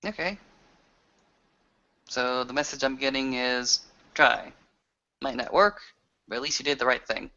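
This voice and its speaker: A man playing an earnest, reflective werewolf in an improvised roleplay, talking in a deliberate, halting way. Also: medium pitch, sharp tone. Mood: measured. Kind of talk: improvised in-character roleplay conversation. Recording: dull lo-fi voice recording.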